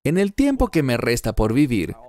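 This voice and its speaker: A man dubbing an earnest Bible teacher in his fifties, delivering a verse-by-verse sermon with emphatic, deliberate phrasing. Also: medium pitch, wildly swooping pitch, darkish tone.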